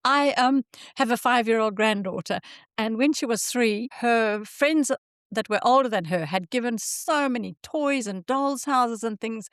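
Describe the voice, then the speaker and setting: A woman's voice: a little high, measured pace, no echo, studio quality. An older woman from Zimbabwe with a Zimbabwean accent, hosting a casual interview chat with enthusiasm and frequent laughter. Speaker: podcast host.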